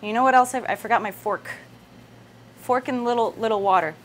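Woman cooking host over clear background noise. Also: a little high, fairly quick. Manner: step-by-step instructing, conversational. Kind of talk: casual live cooking demonstration. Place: indoor kitchen set with wooden worktop. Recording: noisy room sound with kitchen ambience.

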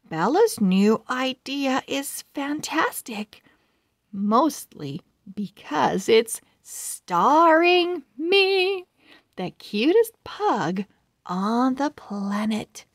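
A woman narrating a children's storybook aloud, expressive and carefully articulated. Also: on the high side, dry recording, clean studio sound. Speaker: children's book read-aloud host.

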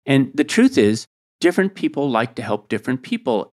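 A man's voice: medium pitch, sing-song, brisk, a little mellow. A man hosting a podcast, asking probing questions in a conversational interview. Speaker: podcast host.

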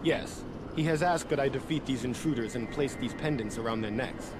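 An actor playing an earnest, naive male martial-arts hero in a video game, with husky voice and formal phrasing. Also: medium pitch, a little fast, background noise, close to an everyday voice. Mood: earnest, dutiful. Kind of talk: scripted video game dialogue.

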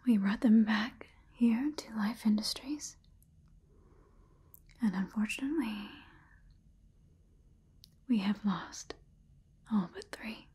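Calm female sci-fi scientist acted by a young woman, dark breathy whisper, halting clinical dictation, ASMR roleplay. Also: on the high side, heavily acted. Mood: clinical, hushed. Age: 20s. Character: calm, clinical female scientist examining an alien-infected patient.

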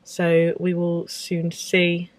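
A young woman chatting to camera in a casual book vlog, bubbly and excitable, rambling from one thought to the next. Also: lowish voice, very slow. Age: young adult. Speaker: book vlogger. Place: home room with bookshelves, later parked car.

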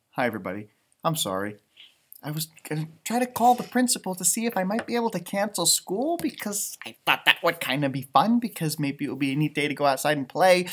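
An American man in his thirties with a playful, expressive, theatrical manner, reading and chatting for young children. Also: a little high. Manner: playful, expressive, theatrical, with sudden loud and hushed turns. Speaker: elementary school storybook reader, teacher. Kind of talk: animated children's read-aloud with chatty asides. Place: room with bookshelves, indoors.

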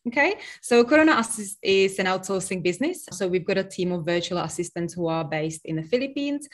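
A woman's voice: medium pitch, animated, fairly quick. A woman in her thirties from the Czech Republic, speaking with a Czech accent as a business guest on a video call, earnest and explanatory. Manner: earnest, explains with hand gestures. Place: home office, virtual background. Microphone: webcam on video call.

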